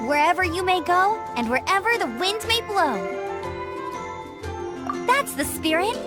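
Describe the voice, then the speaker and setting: A woman's voice: extremely high pitch, sing-song, a little slow, heavily acted. A woman voicing a cheerful, friendly young female scout. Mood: upbeat. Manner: upbeat, friendly. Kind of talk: game cutscene dub. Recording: game cutscene with music behind.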